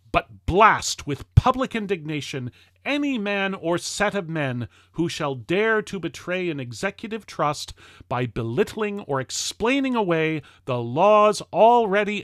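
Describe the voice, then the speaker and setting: A man reading an old-fashioned political address aloud in a formal, declamatory and emphatic manner with deliberate phrasing. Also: high-pitched, fairly bright tone, no echo, clean studio sound. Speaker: reader of a historical political speech. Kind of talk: formal oratory, read-aloud 19th-century address.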